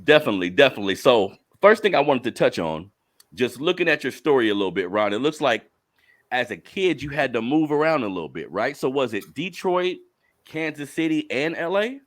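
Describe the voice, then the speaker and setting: A young man hosting a podcast, hyping up his listeners with slangy, energetic talk before interviewing a guest. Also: medium pitch, fairly quick. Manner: hyped, calls listeners family, slangy. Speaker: podcast host.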